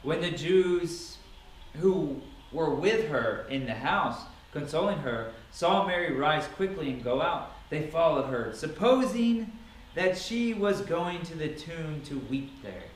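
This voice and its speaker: A man with a fairly high voice reads scripture aloud as drama, stressing phrases theatrically. The recording is roomy and echoing, with audible background noise. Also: expressive, slow pace. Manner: theatrical, emphatic delivery. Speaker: Bible reader. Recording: echoey room, distant mic, noisy.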